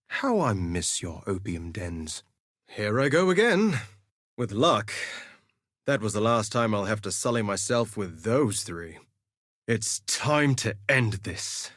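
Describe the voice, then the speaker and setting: Cocky, suave duelist with animated swings. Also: male voice, lowish voice, no echo, clean studio sound, heavily acted. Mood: cocky, theatrical. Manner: theatrical, suave, sardonic. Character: cocky, vain, flirtatious male duelist gladiator. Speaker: video game character voice. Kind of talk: scripted game voice lines, theatrical quips.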